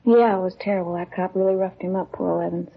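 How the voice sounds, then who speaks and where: Young female junkie witness, very raspy with fry, offhand and slangy, on a dull vintage radio recording. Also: on the low side, steady tone, fast talker. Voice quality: husky, gravelly. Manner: clipped slangy sentences, offhand. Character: jaded twenty-three-year-old addict with a petty record, evasive. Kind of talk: 1950s radio drama acting. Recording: muffled old radio broadcast recording.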